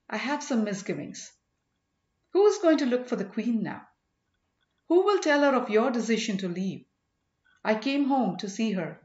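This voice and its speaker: A woman's voice: on the high side, lightly acted. A woman voicing an ardent, grateful young king in exile with earnest, formal speech, as in a storybook. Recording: roomy, slightly dull home recording.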